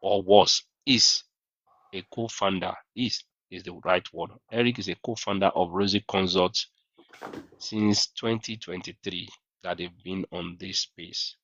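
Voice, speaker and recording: Male livestream host, very low voice, questioning; dry, muffled lo-fi audio. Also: fairly even intonation, unhurried, a bit bright, no room sound. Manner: questioning, reads out viewer questions. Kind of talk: livestream interview.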